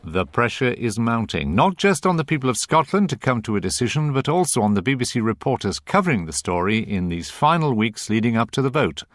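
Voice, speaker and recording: A man's voice: mid-range voice, big pitch swings, a little fast, few pauses, no room sound, clean studio sound. A man presenting a radio programme, reading scripted links and putting questions to interviewees.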